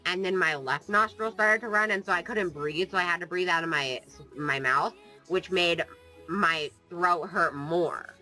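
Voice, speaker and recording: Sniffly gamer vlogger with a cold, super bright voice, jokey and rambly, desk mic. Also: medium pitch, unhurried, faint music behind, rough recording. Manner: playful, jokey, sarcastic, drifts off topic. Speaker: gaming YouTuber. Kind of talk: casual rambling vlog chat.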